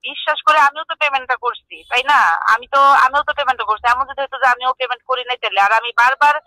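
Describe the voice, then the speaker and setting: Very high, insistent Bangladeshi woman on phone. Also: fairly even intonation, very fast, sharp tone, dry recording, poor audio. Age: middle-aged. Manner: insistent, repetitive. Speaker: prank call recipient. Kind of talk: agitated phone conversation. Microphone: phone call. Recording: voice heard over a phone speaker.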